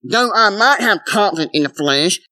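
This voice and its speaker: A man's voice: sits high, expressive, moderate pace, pushed and bright, no echo. A man preaching a conversational sermon as a pastor, asking rhetorical questions and urging listeners to stay with him.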